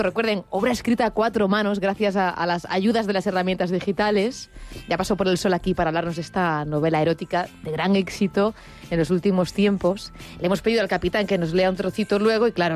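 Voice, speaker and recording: Teasing female radio host, lively chat. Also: mid-range voice. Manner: playful, teasing.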